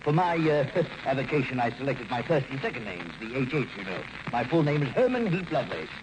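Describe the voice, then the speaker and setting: A man acting as a distinguished, sly older gentleman crook in an old radio play. He speaks genteelly and formally with animated swings of pitch, over a noisy vintage recording. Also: fairly quick, natural voice. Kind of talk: 1940s radio drama acting.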